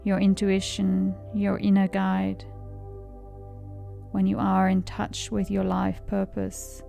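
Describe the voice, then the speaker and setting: Female meditation guide: unhurried, calm, gravelly fry, soothing, music underneath. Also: mid-range voice. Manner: calm, soothing, measured delivery. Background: background music.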